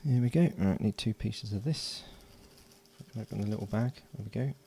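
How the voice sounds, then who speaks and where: A man giving relaxed, matter-of-fact running commentary as he solders at a home workbench, recorded by an overhead camera. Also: on the low side, moderate pace, gravelly, breathy edge. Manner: mutters along while working, relaxed and matter-of-fact. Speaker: electronics hobbyist. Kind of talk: casual build commentary.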